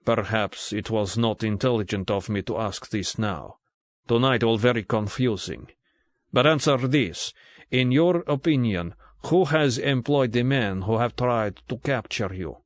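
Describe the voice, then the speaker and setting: A nervous, weary middle-aged Russian agent, put on by a male narrator, speaking stiff, clipped English in a heavy Russian accent. The voice sits low, and the sound is close and dry. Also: no room sound, natural voice. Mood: tense, formal. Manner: formal, clipped, halting English.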